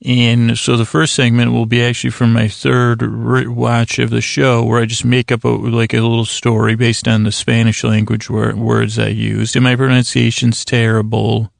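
A man's voice: mid-range voice, monotone, gravelly, no echo, studio quality. A man hosting a bedtime podcast in a rambling monologue, stammering and restarting often as he drifts into silly, self-deprecating tangents. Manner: halting, stammering, frequent false starts. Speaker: podcast host.

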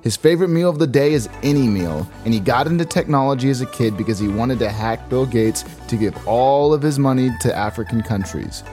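A man's voice: mid-range voice, lively intonation, brisk, few pauses, dark tone, soft background music. A man hosting a podcast interview in a casual, chummy way. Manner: informal, chummy, calls guest "dude" and "brother". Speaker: podcast host.

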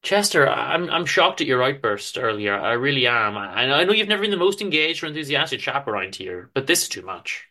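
A man's voice: medium pitch, very animated, fairly quick, hardly any pauses, pushed and bright. A man voicing a reproachful, exasperated office manager who stammers officiously, in a dramatic story reading. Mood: shocked, reproving.

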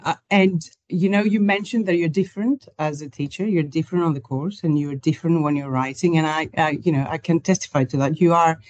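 Low-voiced woman interviewer, halting delivery. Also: darkish tone, no echo, highs cut off. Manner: hesitant, halting phrasing. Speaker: podcast interviewer. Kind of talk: conversational interview.

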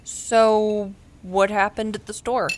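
A woman's voice: medium pitch, flat delivery, takes it slowly, a little hiss or hum, close to an everyday voice, low-quality recording. A woman playing a blunt, protective best friend in an audio drama, with a direct, casual and insistent delivery. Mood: probing, light. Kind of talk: naturalistic audio drama acting.

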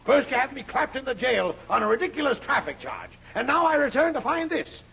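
A fussy, scheming shopkeeper of 1940s radio comedy, high-voiced and rough. His indignant, sarcastic lines swing wildly up and down. The old broadcast sounds muffled. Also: very animated, bright, forceful tone, full character voice. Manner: fussy, theatrically indignant, drawn-out sarcastic delivery. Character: exasperated, scheming small-town shop owner, a spiteful older man.

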